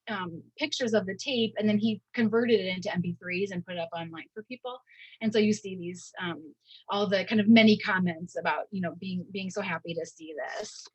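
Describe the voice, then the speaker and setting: A woman giving an informal academic lecture, speaking haltingly and often checking in with "right?". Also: mid-range voice, slightly rough, no echo, poor audio. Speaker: university professor giving a lecture.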